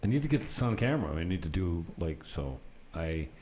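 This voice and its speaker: A man's voice: low-pitched, very animated, a little fast, a little mellow. A man hosting a casual, unscripted podcast from an apartment, rambling through anecdotes in an unfiltered way with some mild swearing. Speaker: talk show host. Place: apartment set up for recording. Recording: noticeable background noise.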